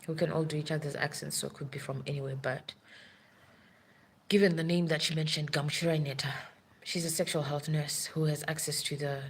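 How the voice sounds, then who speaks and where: A woman's voice: deep voice, fairly even intonation, fairly quick, slightly rough. A woman who works as a nurse, telling a long story in a rambling, urgent way on a live stream. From Africa.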